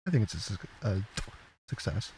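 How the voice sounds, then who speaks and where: A man's voice: lowish voice, sing-song, normal speed, darkish tone, a little hiss or hum. A man giving casual commentary in conversation.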